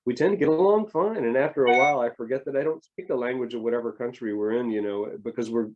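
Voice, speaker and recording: A man's voice: medium pitch, fast, muffled recording. A middle-aged man talking reflectively in an interview, with hesitant restarts and rambling thoughts. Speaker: family therapist, guest.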